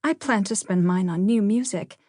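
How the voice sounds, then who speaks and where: A woman voicing a shy young girl, a gentle and mild peacemaking sister, in an audiobook. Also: mid-range voice, moderate pace, no room sound, studio quality, lightly acted. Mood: wistful.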